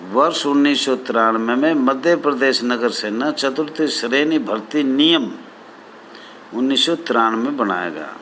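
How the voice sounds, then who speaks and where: A man addressing association members as their leader, deliberate and repetitive, in formal official phrasing. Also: medium pitch, a bit bright, a little hiss or hum.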